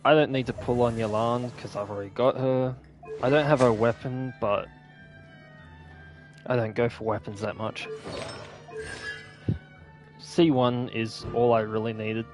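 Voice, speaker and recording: A man's voice: mid-range voice, fairly even intonation, unhurried, long pauses, faint music behind. A man giving casual, unscripted gameplay commentary in an offhand, rambling way. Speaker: gaming video host.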